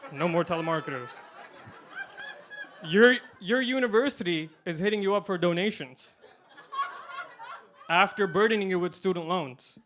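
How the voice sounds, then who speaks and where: A man doing a stand-up comedy set, delivering punchlines deadpan and swinging between loud and quiet. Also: high voice, a little hiss or hum. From India.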